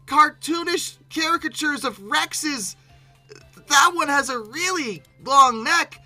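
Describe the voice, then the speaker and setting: Very bright sharp voice, exasperated reaction commentary, incredulous, halting repeats, music behind. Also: high-pitched. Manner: exasperated, incredulous, animated with abrupt outbursts; halting, repeating words when flustered. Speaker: video creator hosting a reaction commentary. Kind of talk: informal, unscripted reaction commentary.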